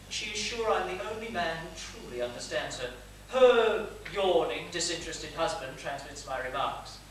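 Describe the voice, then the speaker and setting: A man's voice: a little high, fairly bright tone. A man playing a vain, suave seducer in a stage comedy, pompous and theatrical as he confides in the audience. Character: smug, suave male seducer, vain gentleman narrator. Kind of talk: stage period comedy monologue. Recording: echoey theatre, distant mic, faint noise.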